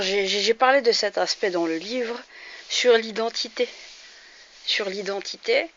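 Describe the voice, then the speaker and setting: Forceful, bright-voiced woman vlogger in her forties. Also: medium pitch. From France. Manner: earnest, grows heated and emphatic, frequent hesitations. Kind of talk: direct-to-camera opinion monologue. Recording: slightly dull lo-fi recording.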